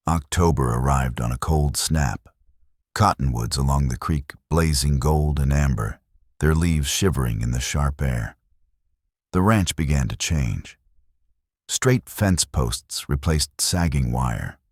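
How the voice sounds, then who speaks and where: Very deep-voiced male storyteller. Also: dry recording, studio quality. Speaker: storyteller narrator. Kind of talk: scripted audiobook narration of a Western romance.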